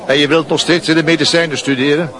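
A man's voice: on the high side, fairly even intonation, quick pace, a bit bright, close to an everyday voice. A man acting a genial, paternal middle-aged schoolmaster with an avuncular, probing manner in a radio drama. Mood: kindly, probing. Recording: busy crowd noise behind. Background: crowd chatter.

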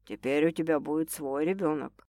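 A woman reader puts on a serious, worried man from a romance audiobook. The voice is lowered and heavy, with slow, halting phrases. The sound is dry and close. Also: no echo, lightly acted. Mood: soft, tender. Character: gruff, anxious, shocked man in love, expectant father.

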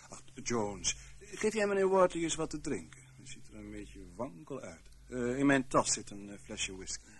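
An actor in a radio play playing a dry, fussy middle-aged intelligence official who speaks formally, with small hesitations. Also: male voice, medium pitch, lively intonation, moderate pace, fairly bright tone, natural voice. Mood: wry, ordering. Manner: formal, hesitant, wryly bureaucratic. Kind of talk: radio drama acting. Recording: noisy old radio play recording.

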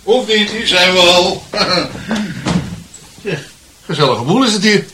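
Cheeky freeloader, fairly high-voiced. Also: male voice, animated, takes it slowly, background noise. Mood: brash, self-pitying. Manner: brash, drawling, opinionated. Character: cheeky, freeloading male newcomer, blunt and over-familiar. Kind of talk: Dutch radio drama acting.

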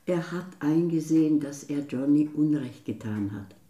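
A woman's voice: low voice, animated, normal speed, mellow. A woman acting in a radio drama as a chatty, motherly older novelist and family friend. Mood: confiding. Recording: roomy echoing interior.

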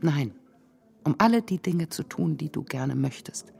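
An actress in a radio drama playing a wealthy, wounded, vengeful middle-aged woman, intense and halting, with a creaky voice. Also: deep voice, lively intonation, darkish tone, faint music behind, heavily acted. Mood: desperate, pleading. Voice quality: creaky voice, often breaking into whispers.

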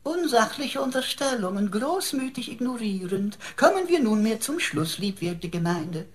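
A man's voice: high voice, big pitch swings, normal speed. A man playing a pompous, jealous personal computer in a sci-fi radio drama, declaiming in florid, preacher-like style. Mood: haughty. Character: pompous, jealous male personal computer, long-winded and theatrical.

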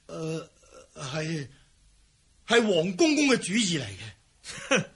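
A man's voice: fairly high pitch, very animated, slow pace, fairly bright tone, exaggerated voice. A man playing a cowardly, self-serving fleeing palace eunuch in a historical radio drama, stammering and sighing as he talks. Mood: nervous, evasive.

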